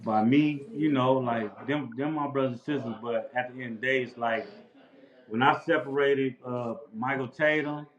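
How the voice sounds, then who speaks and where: A man's voice: mid-range voice, moderate pace, reverberant, lo-fi sound. Laid-back man telling his street story. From Los Angeles. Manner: laid-back, repetitive street slang with frequent "bro". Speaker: interview guest. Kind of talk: casual storytelling. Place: outdoors near a house.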